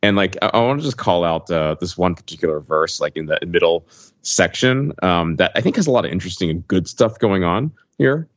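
Really deep guy on a podcast, analytical n kinda halting, dry slightly dull audio. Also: expressive, no echo. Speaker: podcast panelist. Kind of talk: casual discussion, explaining.

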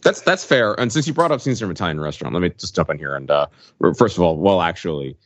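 A man talking casually as a podcast panelist, explaining his points in an analytical, halting way. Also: low voice, lively intonation, lo-fi sound.

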